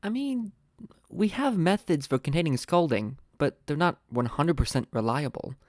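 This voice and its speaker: An actor playing a wary government science adviser, hesitant, understated and skeptical in naturalistic meeting dialogue. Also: male voice, a little high, lively intonation, normal speed, darkish tone, no room sound, clean studio sound, natural voice.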